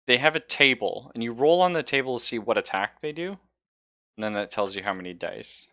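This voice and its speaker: A man's voice: medium pitch, lively intonation, fairly quick, bright, forceful tone, dry recording, studio quality. A man acting as game master, casually explaining rules and narrating the action of a tabletop role-playing game. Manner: explains rules conversationally, describes gory action.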